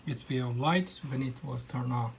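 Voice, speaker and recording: A man's voice: medium pitch, a little slow, creaky, slight background noise. A young man whose first language is Kazakh, speaking plain non-native English with frequent hesitations while demonstrating electronics. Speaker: hobbyist electronics presenter. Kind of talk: hands-on electronics demo.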